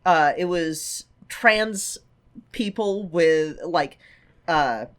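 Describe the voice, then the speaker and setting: A podcast co-host chatting casually about a film, halting and often circling back to rephrase mid-thought. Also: mid-range voice, fairly bright tone. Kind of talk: casual chat, film discussion.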